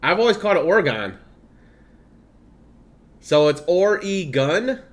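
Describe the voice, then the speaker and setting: Male streamer, 30s, blended Midwestern/Southern/Californian accent, slightly high pitch, chatty and laughing. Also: big pitch swings, measured pace. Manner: chatty, laughs often, reads game text aloud. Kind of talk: casual gameplay stream commentary.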